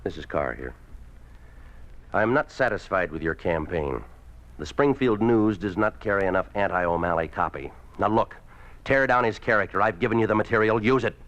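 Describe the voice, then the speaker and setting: An actor playing a ruthless, domineering communist party boss in a 1950s radio drama, speaking in a curt, commanding way. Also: male voice, on the low side, lively intonation, moderate pace, fairly bright tone. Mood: harsh, commanding. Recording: muffled old 1950s radio recording.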